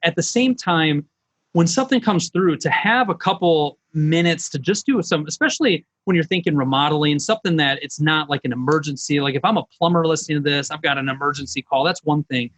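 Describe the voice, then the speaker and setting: A man's voice: on the high side, fairly quick, fairly bright tone. A middle-aged man, a business coach, presenting online in an informal, folksy, conversational way.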